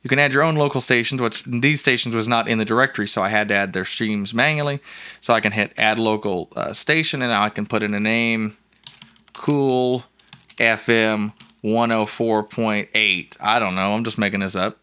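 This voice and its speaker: A man hosting an informal, jokey, rambling software walkthrough in a casual screencast style. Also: on the low side, normal speed, clean tone. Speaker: host of a software review show.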